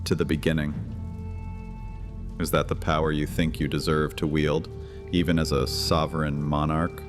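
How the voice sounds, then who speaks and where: An actor playing an ancient, imperious vampire high priest in a horror audio drama, commanding, mocking and theatrical. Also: male voice, flat delivery, normal speed. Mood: mocking menace. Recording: long cavernous reverb, faint music underneath.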